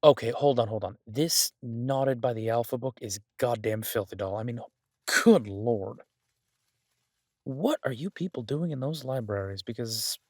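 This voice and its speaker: A man performs a mafia boss cooped up and restless, doting on the one he talks to. His medium-pitched voice is recorded very dry, with no room around it. He speaks conversationally, with playful exasperation and a swearing gangster's swagger, as in an intimate audio roleplay. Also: darkish tone, no echo, natural voice. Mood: mock offended. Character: male mafia boss, doting, restless, stuck baking in a safe house.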